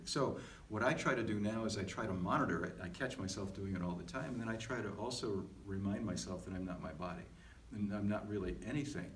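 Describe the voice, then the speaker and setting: A middle-aged man talking casually and reflectively as a podcast co-host, halting and self-deprecating. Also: lowish voice. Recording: echoey, distant mic with faint noise.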